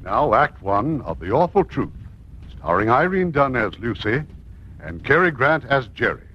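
A man's voice: mid-range voice, very animated, a little slow, muffled recording, slight background noise. A man hosting and narrating a 1950s radio theatre broadcast in a scripted, presentational style. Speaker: producer and host introducing a radio play.

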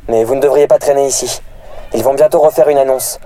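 A man's voice: mid-range voice, fairly even intonation, fast talker, warm tone, slight background noise, natural voice. A man playing an uneasy, foreboding masked air inspector in an audio drama, speaking hesitantly.

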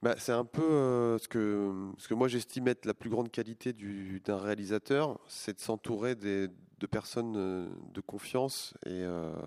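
A man's voice: on the low side, darkish tone. A man in his forties, a comic book artist, answering interview questions in a hesitant way, with many restarts and fillers.

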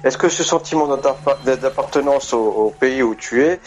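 A man's voice: mid-range voice, moderate pace, over loud music. A man in his forties calling into a radio show, hesitantly searching for his words as he asks a question. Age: 40s. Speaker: radio caller. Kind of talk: call-in radio chat.

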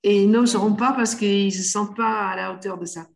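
A woman's voice: mid-range voice, steady tone, brisk, creaky. A middle-aged woman from the Netherlands, by mother tongue, speaking French as a podcast guest, searching for words with many restarts. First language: Dutch. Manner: hesitant, many restarts and fillers. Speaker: guest, doula of the inner child. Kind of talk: casual podcast conversation.

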